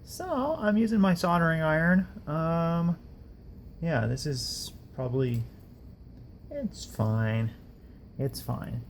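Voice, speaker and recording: Rambling man with creaky, gravelly voice. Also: fairly high pitch, expressive, slow, pauses often, slight background noise. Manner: rambling, self-deprecating. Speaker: electronics hobbyist host. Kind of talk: casual workbench build narration.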